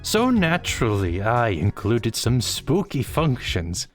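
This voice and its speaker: Little ghost, squeaky, panicky, stammering, very lively pitch, faint music behind. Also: male voice, slow. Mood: fretful.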